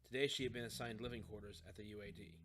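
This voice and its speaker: A man's voice: lowish voice, steady tone, racing, noisy background. A man reading a scripted story as an audiobook narrator.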